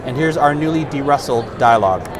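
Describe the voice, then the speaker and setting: A man in his thirties, a product specialist, giving a relaxed, explanatory trade-show demo on a lapel mic. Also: medium pitch, fairly quick, crowd noise. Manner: relaxed, explanatory, casual fillers. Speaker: product specialist demonstrating software. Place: trade-show booth, indoors. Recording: noisy trade-show booth.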